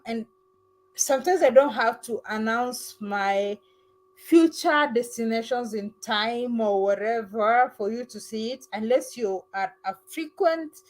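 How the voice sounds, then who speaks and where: A woman with a fairly high voice hosts a live call-in stream. She talks casually and gushes her thanks to callers. Her speech is relaxed and conversational. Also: poor audio. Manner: warm, effusive thanks, mimics other accents playfully. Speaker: livestream host.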